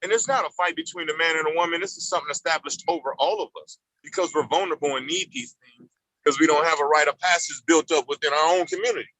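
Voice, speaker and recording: Panelist, man around fifty, preachy cadence, bright tense tone. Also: on the high side, fast, no echo, rough recording. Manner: preachy, repeats "you understand what I'm saying". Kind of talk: impassioned panel discussion.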